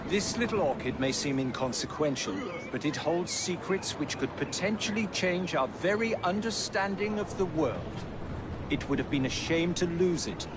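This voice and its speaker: An actor playing an earnest, courtly elderly Victorian gentleman scientist with an English accent, speaking formally and theatrically in a game cutscene. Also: a little high, animated, moderate pace, very noisy, natural voice. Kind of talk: dramatic game cutscene acting. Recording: game audio under loud music.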